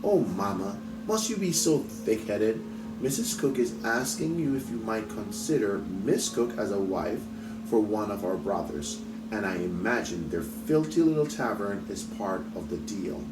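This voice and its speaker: A man's voice: lowish voice, lively intonation, a little slow, far from the mic. Guy reading as a snobby mean rich girl, tattling and snide, echoey room, music going. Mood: scornful. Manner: snide, cutting. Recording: roomy echo, off mic, music behind.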